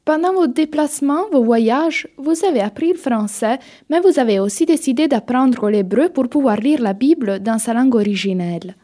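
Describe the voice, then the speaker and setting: A woman hosting a radio book show, reading a prepared presentation and asking interview questions in a measured way. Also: high voice, expressive, dark tone. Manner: measured, reads from a script.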